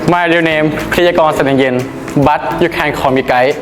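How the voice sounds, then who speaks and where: A young man with a Thai accent and a fairly high voice introduces himself in careful, simple learner English. The room is echoey, and music plays clearly behind him. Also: fairly even intonation, moderate pace. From Thailand. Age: 20s. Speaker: student. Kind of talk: classroom self-introduction.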